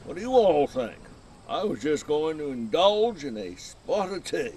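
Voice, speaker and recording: Gruff folksy old lighthouse keeper, takes his time, voice swings up and down, crickets and noise behind. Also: on the high side, big pitch swings, a little slow, darkish tone. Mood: folksy, relaxed. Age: older. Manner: folksy, gruff, drawling, chuckling. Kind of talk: audio drama acting.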